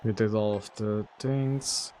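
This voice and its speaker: A man streaming a video game, chatting casually to viewers in a relaxed, rambling way full of fillers. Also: fairly low pitch, slow, slight background noise. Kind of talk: casual gameplay commentary.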